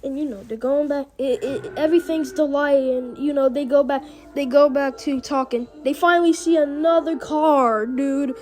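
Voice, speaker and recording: Female reaction host, high voice, casual rambling slangy commentary, music underneath. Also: fairly even intonation, moderate pace, darkish tone. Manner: informal slangy, rambling recap.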